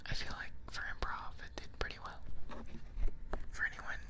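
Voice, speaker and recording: A man whispering softly and breathily, chatting gently to viewers as an ASMR streamer. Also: moderate pace.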